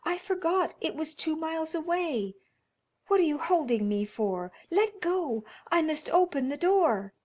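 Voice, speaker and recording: A woman's voice: high-pitched, lively intonation, unhurried, darkish tone, pitched above the performer's own voice. A woman voicing a frantic elderly mother in a horror story, crying out in strained, pleading desperation for her son. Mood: frantic, desperate. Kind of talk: dramatised horror storybook reading.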